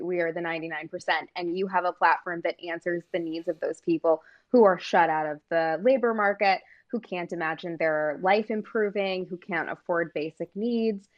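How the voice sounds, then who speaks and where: A woman's voice: fairly low pitch, dull audio. A woman from Maine co-hosting a casual political talk, chatty and full of fillers. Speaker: co-host.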